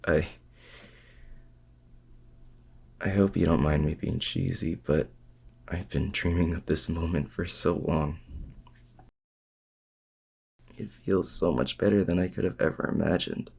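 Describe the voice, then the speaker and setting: An extremely low, husky male voice with plenty of fry , a man acting out the part. He is a vulnerable, lovestruck supervillain in an intimate ASMR roleplay. His delivery is halting and earnest, with a protective tenderness. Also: fairly even intonation, darkish tone, exaggerated voice. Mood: tender, relieved. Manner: halting, earnest, with hesitant restarts.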